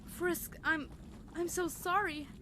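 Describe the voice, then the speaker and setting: Remorseful female villain, very high-pitched, tearful, halting, pleading, audio drama, faint ambience. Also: fairly even intonation, pushed and bright, exaggerated voice. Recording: faint background noise.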